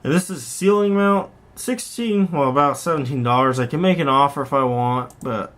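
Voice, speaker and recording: A man's voice: on the high side, moderate pace, a bit bright. A man giving casual, unscripted show-and-tell commentary in a rambling, conversational way, often correcting himself as he goes. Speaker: hobbyist vlogger, host.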